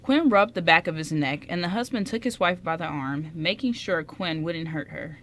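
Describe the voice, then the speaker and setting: A woman's voice: fairly low pitch, moderate pace, fairly bright tone. A woman reading scripted story narration for a radio play, occasionally stumbling, on a noisy amateur recording.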